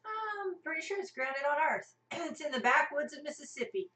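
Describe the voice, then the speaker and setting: A woman's voice: sits high, expressive, normal speed, pushed and bright. A woman narrating a scripted comic radio play as part of an amateur cast. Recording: roomy, mic at a distance.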